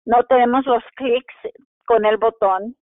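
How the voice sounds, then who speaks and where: A woman presenting a translated webinar, reading out audience questions and answering them from a script in a steady explanatory way, with a few restarts. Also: fairly high pitch, takes it slowly, dry recording. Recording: tinny phone-like audio, dry.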